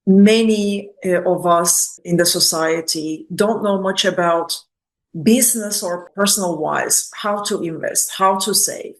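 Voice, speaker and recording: A woman hosting a business spotlight interview, speaking in an effusive, complimentary way with deliberate phrasing. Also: fairly low pitch, low-quality recording. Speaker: interview host.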